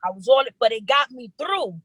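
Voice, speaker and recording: A woman's voice: a little high, moderate pace, husky, no room sound. A young woman from New York, a rapper, chatting in an interview and telling stories earnestly with lots of restarts. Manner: earnest, animated storytelling with repeated restarts. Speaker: rapper guest. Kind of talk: casual interview chat.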